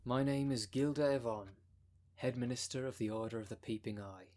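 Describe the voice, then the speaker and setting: A man playing a smooth-talking, con-artist female minister of a demon cult in an ASMR roleplay, with a polished, unctuous sales manner. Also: medium pitch, brisk, darkish tone, lightly acted. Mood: self-satisfied. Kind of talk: ASMR roleplay audio drama.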